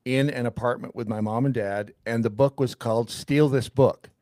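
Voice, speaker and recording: A man's voice: medium pitch, fairly even intonation, moderate pace, warm tone, dry recording. Dry-recorded man in his sixties. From California, United States. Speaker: co-host. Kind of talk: casual chat, reminiscing.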